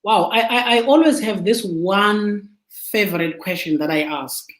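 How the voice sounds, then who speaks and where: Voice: mid-range voice, unhurried, a bit bright. Hesitant interview host in echoey room. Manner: hesitant, repeats words. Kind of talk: casual interview.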